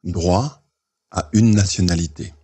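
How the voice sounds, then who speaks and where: Deep, husky man presenting at a slow pace. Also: dark tone. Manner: playful, teasing the audience; deliberate article announcements. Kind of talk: casual spoken presentation.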